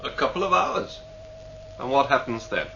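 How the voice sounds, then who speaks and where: A man's voice: mid-range voice, big pitch swings, moderate pace, vocal fry, pushed and bright, heavily acted. An actor playing a penniless, defensive male passenger in a sci-fi radio drama, earnest and indignant. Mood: wary, challenging. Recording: muffled, hissy old radio recording with hum.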